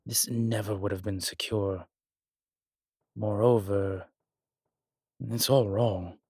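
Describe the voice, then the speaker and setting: Man playing a slow, low-voiced scholarly demon. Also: fairly even intonation, warm tone, husky, no echo, studio quality. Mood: pedantic, disapproving, then scholarly, puzzled. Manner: deliberate, halting, explanatory, pedantic. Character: strong, scholarly male demon, exasperated occult lecturer. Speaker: voice actor in a horror roleplay audio. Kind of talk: immersive one-sided roleplay audio drama.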